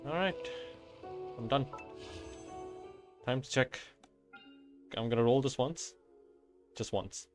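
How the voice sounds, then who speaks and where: A man's voice: mid-range voice, slow, pauses often. Man casually commentating over game music. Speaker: gaming video creator. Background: video game music.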